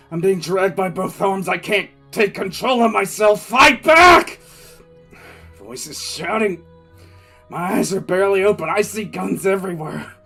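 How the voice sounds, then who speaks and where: An actor playing a frantic, bitter military commissar in an audio drama, telling his story in halting, intense first person. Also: high-pitched, pushed and bright, husky, full character voice. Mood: desperate, furious. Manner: halting, intense, repeats words. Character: male military commissar, wounded, frantic, bitter survivor recounting disaster.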